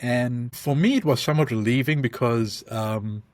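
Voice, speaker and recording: A man's voice: mid-range voice, darkish tone, no room sound. South African man, dry close sound. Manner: thoughtful, speaks in halting restarts with many ums. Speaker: podcast guest. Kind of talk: conversational interview.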